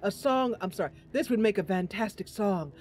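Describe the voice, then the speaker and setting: A woman's voice: mid-range voice, animated, normal speed, a little mellow, soft background music, lightly acted. A woman voicing a theatrical, enthusiastic fantasy bard in a game role-play, with dramatic, declamatory delivery. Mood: grand, inspired. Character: theatrical bard, grandly enthusiastic about songs of valor.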